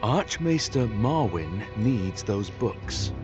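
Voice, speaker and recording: An actor playing a terse, determined errand-runner in a video game, direct and wry. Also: male voice, lowish voice, sing-song, slow pace, music in the background. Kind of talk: video game cutscene acting. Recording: slightly muffled audio.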